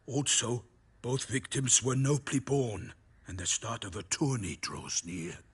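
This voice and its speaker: A man's voice: mid-range voice, animated, a little slow, breathy edge. A man voicing a proud, chivalrous knight errant in a fantasy video game, florid and dramatic, over background noise. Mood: ominous. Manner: florid, dramatic, formal storytelling.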